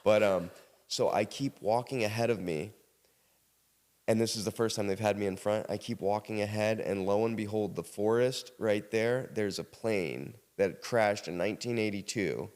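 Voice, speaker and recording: Podcast guest: American man, low voice, casual animated storyteller, recorded with long reverb. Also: normal speed. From South Carolina, United States. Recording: reverberant hall-like room sound.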